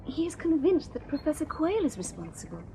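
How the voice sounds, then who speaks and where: A woman's voice: high-pitched, a little fast, slight background noise. A coy genteel Victorian young lady. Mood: polite. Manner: prim, coy and animated. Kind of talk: radio drama acting.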